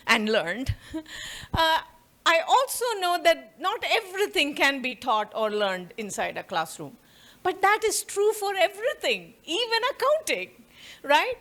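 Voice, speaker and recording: A middle-aged woman from India with an Indian accent, giving a quick, conversational talk in a university auditorium and laughing often. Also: high voice, sing-song, fairly bright tone. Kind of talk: conference talk to an audience.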